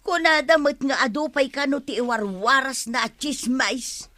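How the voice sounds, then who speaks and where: A woman's voice: high voice, a little slow, sharp tone. An actress playing a gossipy, plaintive village woman in an Ilocano radio comedy drama. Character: gossipy, complaining village woman confiding in friends.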